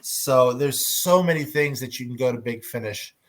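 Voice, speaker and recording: A man hosts a relaxed fan discussion, addressing listeners and fellow panelists. His voice sits at an average pitch, and his phrasing comes in hesitant, halting pieces. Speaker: panel host. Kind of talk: informal fan panel moderation.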